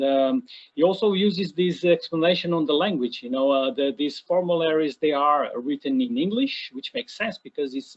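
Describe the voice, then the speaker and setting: A man from Portugal answers a question on a video call, his voice husky and a little rough. He stops and starts again often and fills the gaps with fillers. The sound is dull and lo-fi. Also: on the high side, a little mellow. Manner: hesitant, many restarts and fillers. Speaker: academic presenter. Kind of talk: online Q&A answer.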